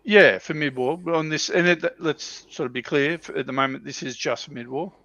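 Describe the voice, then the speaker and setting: A man giving his opinions in casual, halting chat as a panelist on a hobby livestream, often rephrasing as he goes. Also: a little high, fairly bright tone, dry recording, dull audio. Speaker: livestream panelist on a tabletop wargaming show.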